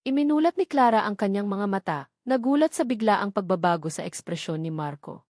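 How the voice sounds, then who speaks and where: A woman's voice: medium pitch, animated, a little fast, darkish tone, no echo, studio quality. A woman reading a scripted story as a dramatic narrator.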